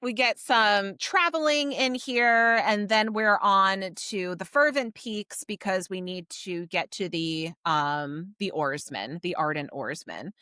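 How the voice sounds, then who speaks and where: A woman's voice: mid-range voice, slow, few pauses, sharp tone, clean tone, no echo, studio quality. A woman co-hosting a podcast, casually recapping and discussing a book in an informal, explanatory way. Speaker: podcast co-host.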